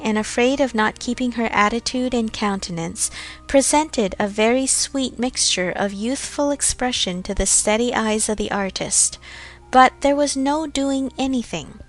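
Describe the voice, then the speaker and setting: A woman narrating a classic novel in a measured, formal storytelling manner. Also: fairly high pitch, expressive, soft background music. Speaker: audiobook narrator. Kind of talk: scripted literary narration.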